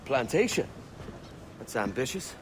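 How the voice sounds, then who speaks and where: An actor playing a cocky, ambitious young pirate with a wry, colloquial swagger in a video game. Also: male voice, medium pitch, very animated, measured pace, noisy background. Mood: cocky, scheming. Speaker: pirate captain. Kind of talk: naturalistic video game acting.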